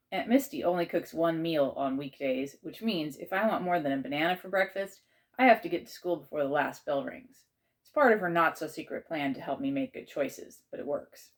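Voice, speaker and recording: Low-pitched woman reading a children's story. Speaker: author reading aloud.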